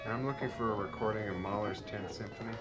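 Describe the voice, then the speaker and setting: A man's voice: lowish voice, fast, over loud music, natural voice. An actor playing a forgetful, shaky man in a 1980s radio drama, hesitant and conversational. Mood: puzzled, insistent. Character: forgetful, shaky man calmly losing hold of reality.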